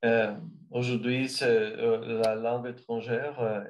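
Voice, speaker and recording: A man from Brazil speaking French as a learner, with a Brazilian Portuguese accent, answering interview questions slowly and hesitantly as he searches for words. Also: mid-range voice, little pitch movement, a little hiss or hum.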